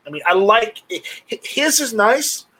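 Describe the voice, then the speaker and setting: Male livestream host, slightly high-pitched voice, unscripted and rambling, halting delivery with repeated words. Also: big pitch swings, pushed and bright, slightly rough, poor audio. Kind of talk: casual unscripted chat about gear.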